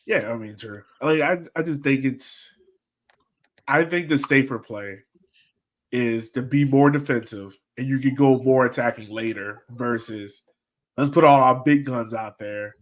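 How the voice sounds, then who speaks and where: A man co-hosting a podcast, thinking out loud in colloquial, animated soccer banter. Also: medium pitch, a little slow. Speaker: podcast co-host.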